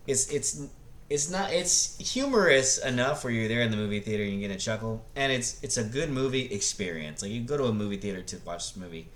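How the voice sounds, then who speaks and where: A man's voice: fairly low pitch, a bit bright. A middle-aged man giving casual, rambling opinions on a vlog, blunt and sweary, often tripping into false starts and starting over. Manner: blunt, profane, halting with repeated false starts. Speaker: host of a movie review vlog.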